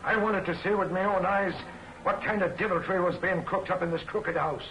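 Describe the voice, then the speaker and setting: An actor playing an excitable, defiant newspaper reporter who blusters in folksy exclamations, in 1940s film serial style. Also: male voice, high voice, fairly quick, a little hiss or hum, low-quality recording. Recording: old film soundtrack with music behind.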